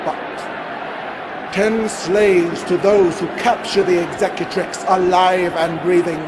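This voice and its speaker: An actor playing a sadistic, vengeful warlord in a game cutscene, commanding and theatrical. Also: male voice, high voice, noisy background. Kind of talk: video game cutscene acting.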